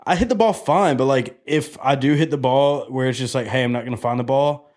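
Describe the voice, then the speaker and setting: A man's voice: mid-range voice, brisk, a little mellow. A man in his twenties hosting a podcast, telling a long story in a loose, joking way and swearing freely. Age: 20s. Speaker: podcast host. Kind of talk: casual chat.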